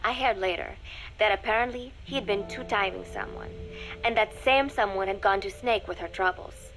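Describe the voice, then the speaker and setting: A woman playing a teenage girl spy in a video game, reading her secret diary in a measured, deliberate way with formal diction, wistful and coolly resolute. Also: medium pitch, a bit bright, natural voice, rough recording. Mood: cool, disdainful. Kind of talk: scripted audio diary narration.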